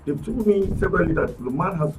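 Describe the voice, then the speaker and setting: A man with a Nigerian accent, arguing politics on a radio talk panel in an animated, emphatic way, often restarting his words. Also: sits high, fast talker, far from the mic, soft background music, rough recording. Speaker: political commentator guest. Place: radio studio.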